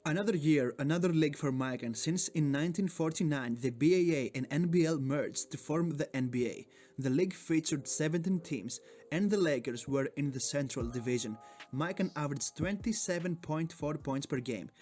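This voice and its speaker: A man's voice: a little high, darkish tone. Hyped, profane Greek-accented man. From Greece. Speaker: sports YouTuber. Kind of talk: scripted video narration.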